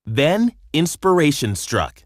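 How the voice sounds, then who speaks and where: A man narrating a children's story from a script in an expressive storytelling manner. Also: fairly high pitch, slow pace, dry recording, studio quality.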